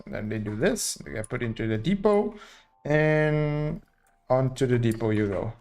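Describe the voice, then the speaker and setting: A man's voice: mid-range voice, animated, moderate pace, a little mellow, faint music behind. A young man from Portugal, casually thinking aloud as he live-streams a building game. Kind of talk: live gameplay commentary.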